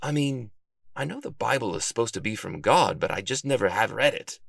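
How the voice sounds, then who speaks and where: A man voicing an excitable, earnest male detective in a storybook, dramatic and given to puzzled questions. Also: medium pitch, a little fast, creaky, no room sound, clean studio sound. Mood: bewildered. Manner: exclaiming, self-questioning, dramatic.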